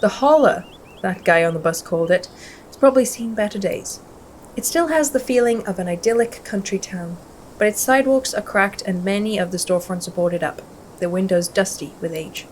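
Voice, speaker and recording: A woman hosting a gaming video, reading the story aloud and commenting in a casual, expressive manner. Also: medium pitch, fairly quick, a little hiss or hum, low-quality recording. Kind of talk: playthrough commentary and read-aloud narration. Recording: music behind the voice. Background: music.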